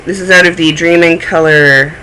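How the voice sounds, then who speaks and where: Male podcast host, a touch phlegmy, chatty and self-mocking, with a sharp, bright tone. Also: fairly high pitch, fairly even intonation, normal speed, a little hiss or hum, rough recording. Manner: chatty, rambling, self-deprecating. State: a little phlegmy. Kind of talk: casual chat.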